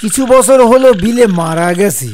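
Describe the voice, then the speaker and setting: A man plays an earnest village uncle from a horror cartoon, with a high-pitched voice. He spins ghost tales in a dramatic, insistent way, as if every word were true. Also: expressive, moderate pace, a little mellow, slight background noise. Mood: dramatic, reflective. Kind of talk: animated horror story acting.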